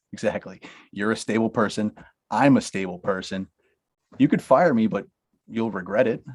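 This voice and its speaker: A man's voice: fairly low pitch, normal speed, pauses often, a little mellow, natural voice. A man reading the part of a self-pitying talent manager at a table read, blunt at first and then pleading with nervous laughs.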